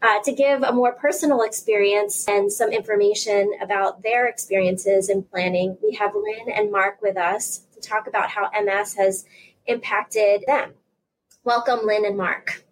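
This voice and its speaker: A woman hosts an informational interview, speaking at a medium pitch. She presents advice and puts questions to guests. There is faint ambient noise behind her. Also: steady tone, moderate pace, poor audio.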